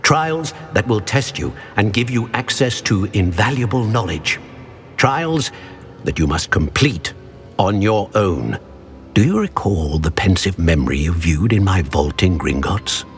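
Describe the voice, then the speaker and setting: An actor playing a solemn, formal old wizard who guards ancient secrets, speaking in a measured, grave way. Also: male voice, low-pitched, expressive, soft background music. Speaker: portrait professor.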